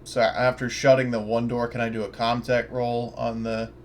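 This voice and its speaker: A man's voice: mid-range voice, level tone, moderate pace, a little hiss or hum. A man playing a tabletop roleplaying game, chatting casually and thinking aloud as he describes his character's actions.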